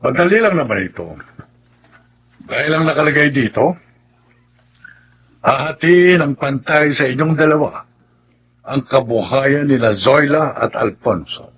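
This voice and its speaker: A composed family lawyer in a radio melodrama. He is a man with a somewhat rough, mid-range voice. He reasons firmly and formally, in measured phrases. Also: mid-range voice, expressive, fairly bright tone. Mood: calm, firm. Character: calm, firm family attorney reading a will. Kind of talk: Tagalog radio melodrama acting.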